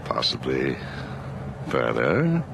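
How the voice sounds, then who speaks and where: A man's voice: extremely low pitch, huge pitch swings, takes it slowly, gravelly, noisy background, heavily acted. An actor playing a grim, troubled middle-aged policeman in a 1980s horror radio play, intense and dramatic. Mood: calm, enigmatic. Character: grim, troubled policeman nearing early retirement.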